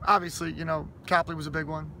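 A man, a high school football coach giving earnest, humble interview answers outdoors beside a school, full of fillers and restarts. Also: flat delivery, fast talker, gravelly. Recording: outdoor noise and passing traffic.

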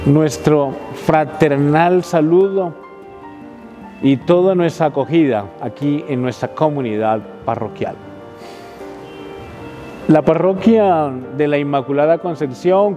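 An older man with a Colombian Spanish accent, a parish priest and friar, speaking in a measured, solemn way inside a church. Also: on the high side, muffled recording. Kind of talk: welcoming address to camera. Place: inside a church, before the altar. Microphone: close camera interview framing.

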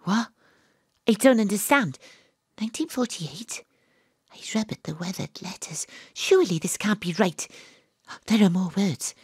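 A woman's voice: mid-range voice, measured pace, pauses often, darkish tone, breathy edge, dry recording. Dramatic young Welsh woman investigator, dry sound. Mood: hushed, tense. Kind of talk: audio drama narration and dialogue.

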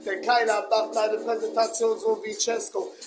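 A young man from Germany with a cold, freestyle rapping boastfully into a webcam in a dark bedroom. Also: sits high, flat delivery, moderate pace, music in the background. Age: young adult. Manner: boastful, repetitive rhymes. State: has a cold. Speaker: amateur rapper. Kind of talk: freestyle rap over a beat. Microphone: webcam at close range. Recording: beat playing under the voice. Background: loud backing beat.

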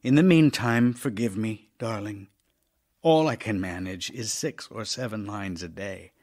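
A man's voice: fairly low pitch, measured pace, darkish tone. An actor playing an ailing middle-aged playwright husband in an audio drama, tender, intimate and wistful as he voices love letters. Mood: lonely, discouraged.

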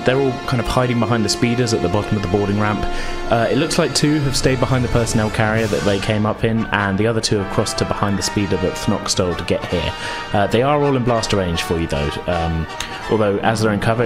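Guy game mastering a tabletop rpg, kinda low voice, music going behind him. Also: fast talker, few pauses. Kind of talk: narrating a tabletop role-playing game. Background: background music.

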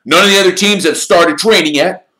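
A man's voice: high-pitched, brisk, bright, forceful tone, pitched above the performer's own voice. A man voicing a burly, fanatically keen teenage team captain who talks in hearty, bossy bursts. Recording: roomy echo.

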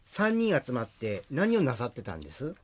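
A man's voice: mid-range voice, wildly swooping pitch, normal speed, husky. A man playing an eager, sociable accountant in a comic radio drama.

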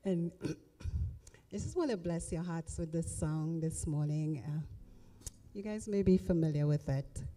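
A middle-aged woman, a minister and singer, chatting softly to a church congregation before she sings, on a handheld mic. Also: deep voice, animated, unhurried. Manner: soft-spoken and chatty, says she has a big voice. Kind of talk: spoken introduction then worship singing. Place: church sanctuary with cross on stone wall. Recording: music faintly behind. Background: accompanying music.

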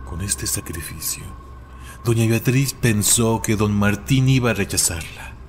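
A man narrating scripted horror legends in a solemn, deliberate way with dramatic emphasis. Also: on the low side, soft background music. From Mexico.

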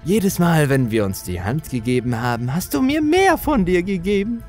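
A man voicing a gloating, manipulative older villain in a horror story, with a taunting, drawn-out delivery. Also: fairly high pitch, sing-song, darkish tone, soft background music, pitched above the performer's own voice. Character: sinister mocking older man, gloating manipulative dealmaker with supernatural power.

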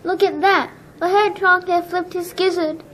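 A woman voicing a noisy, mocking gander in a storybook, loud, honking and jeering. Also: very high voice, steady tone, normal speed, pitched above the performer's own voice.

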